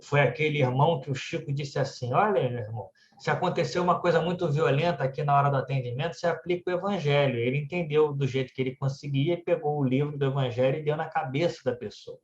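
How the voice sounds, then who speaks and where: A man's voice: fairly high pitch, fast, hardly any pauses. A man with a Brazilian Portuguese accent giving a religious talk as conversational anecdotes, often tagging his phrases with little check-in words. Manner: conversational storytelling, frequently adding "né" tags. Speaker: speaker at a spiritist centre. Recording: echoey room, dull muffled sound.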